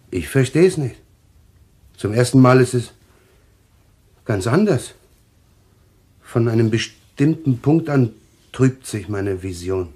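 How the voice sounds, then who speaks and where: An actor in a German radio play playing an anxious, troubled man haunted by visions, speaking haltingly with a husky voice. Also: on the low side, darkish tone. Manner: halting, haunted, repeating himself.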